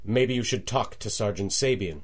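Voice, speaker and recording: A man's voice: on the low side, moderate pace, lightly acted. An actor playing an evasive, defensive businessman in a radio drama, guarded in manner.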